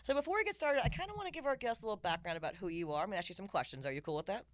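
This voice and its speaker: A woman speaks as a podcast host with an animated, very varied intonation. She moves along quickly and keeps an enthusiastic, informal manner. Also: medium pitch. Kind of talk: upbeat interview chat with sponsor read.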